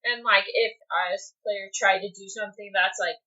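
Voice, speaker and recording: Bright female voice, informal, slightly muffled audio. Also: mid-range voice, fairly even intonation, moderate pace. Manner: tentative, informal, many "like" hedges. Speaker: podcast co-host and game player. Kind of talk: casual unscripted chat.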